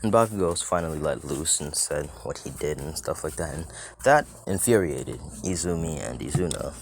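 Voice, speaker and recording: A man's voice: rumbling low, expressive, normal speed. A man narrating a fan-made story in a loose, chatty way, swearing casually and drifting into side remarks, with a scratchy voice.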